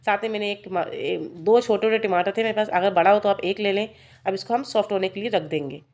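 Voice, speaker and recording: A woman's voice: medium pitch, fast, a little mellow. A woman vlogging at home in her kitchen, chatty and talking viewers through each step, with a sore throat. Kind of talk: casual cooking vlog narration.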